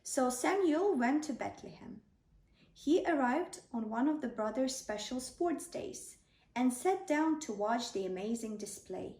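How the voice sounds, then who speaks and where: Female bedtime storyteller, high and mellow, gentle deliberate delivery, roomy sound. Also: animated. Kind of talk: children's bedtime story narration. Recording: roomy, distant microphone.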